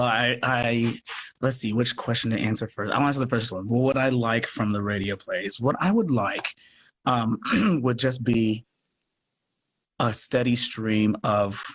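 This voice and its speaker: A man talking earnestly in a casual online interview, haltingly as he thinks aloud. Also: on the low side, fairly bright tone, a little hiss or hum. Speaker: podcast producer and guest. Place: live social media video call.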